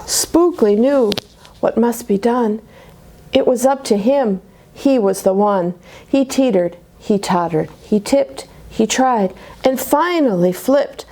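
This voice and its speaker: Woman storyteller, unhurried pace, expressive rhyming read-aloud, dramatic whispers, children's picture book. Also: fairly high pitch. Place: church.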